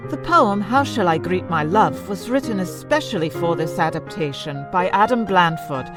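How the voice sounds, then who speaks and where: A formal, precise announcer played by a woman in an audio drama. Her intonation is lively and varied, and music plays clearly behind her. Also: fairly low pitch, moderate pace, lightly acted. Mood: formal announcing. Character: stubborn, opinionated woman interrupting a production to correct it.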